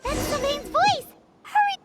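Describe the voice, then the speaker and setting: A woman voicing a small, excitable, childlike fairy companion in a video game, with a high, squeaky, bouncy voice. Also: unhurried, loud music behind the voice. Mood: alarmed.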